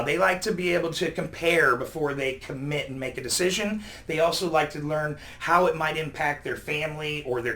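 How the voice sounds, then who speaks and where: A man's voice: on the high side, bright, forceful tone. A man explaining communication tips as a guest expert, in an emphatic, instructive way.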